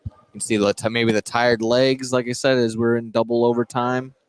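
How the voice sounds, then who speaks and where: A man doing live play-by-play commentary of a high school basketball game from inside a gym. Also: level tone, normal speed, clean tone, no echo. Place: indoor gymnasium commentary position.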